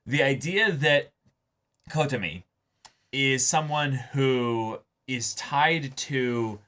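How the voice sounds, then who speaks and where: Give me a man with a forceful, bright voice doing casual, emphatic reaction commentary with some swearing. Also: mid-range voice, extremely slow. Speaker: reaction show host. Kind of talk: casual discussion and commentary of an anime episode.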